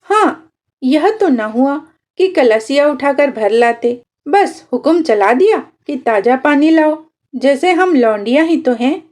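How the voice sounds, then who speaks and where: Storybook role: weary, complaining village wife played by a female narrator, high and slow. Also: expressive, darkish tone. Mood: weary grumbling. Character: grumbling overworked village housewife.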